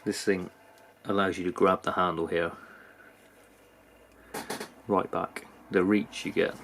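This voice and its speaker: A man with a British accent giving a casual, unscripted product review in a halting manner. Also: sits low, level tone, gravelly, a bit bright, slight background noise. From United Kingdom. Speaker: knife reviewer. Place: indoors at a workbench.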